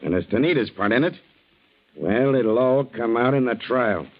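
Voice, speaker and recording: A man's voice: medium pitch, lively intonation, loud music behind the voice, lightly acted. Man as a blunt, dull-sounding old-radio detective. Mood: stern, pressing. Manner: brisk, blunt interrogation. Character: hard-nosed, accusing male police investigator. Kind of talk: 1940s radio drama acting. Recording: dull 1940s radio recording.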